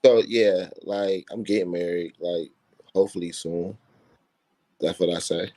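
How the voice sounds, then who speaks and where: A man speaking in a nonchalant, deadpan way as a guest on a casual panel. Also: low-pitched, unhurried.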